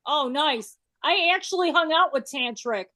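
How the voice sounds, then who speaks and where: A woman's voice: high voice, animated, unhurried, pushed and bright, dry recording, clean studio sound. A woman hosting a casual chat with a band, talking loosely with lots of fillers and stories. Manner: chatty, storytelling, many fillers. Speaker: podcast host. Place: works in Las Vegas.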